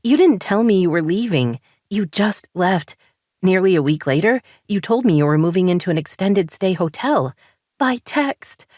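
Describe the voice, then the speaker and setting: A woman's voice: deep voice, animated, normal speed, no room sound, clean studio sound. A woman voicing a hurt, frustrated married woman who presses her points insistently. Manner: heated, insistent.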